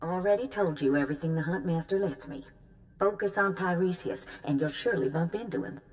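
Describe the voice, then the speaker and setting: An actress playing a brisk, businesslike mission handler giving a briefing in a video game. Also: female voice, on the low side, darkish tone, close to an everyday voice. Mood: businesslike, knowing. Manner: businesslike, instructive.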